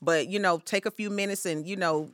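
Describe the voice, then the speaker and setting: Fast, bright, sassy woman. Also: lowish voice, steady tone, dry recording. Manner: sassy, outspoken, blunt. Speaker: podcast co-host. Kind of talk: casual chat.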